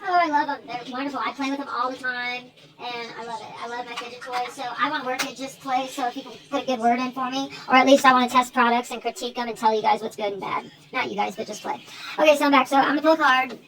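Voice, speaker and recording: A woman's voice: high voice, steady tone, fast, fairly bright tone. An American woman chatting in a rambling, informal one-sided phone call for an online video, drifting between asides. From United States.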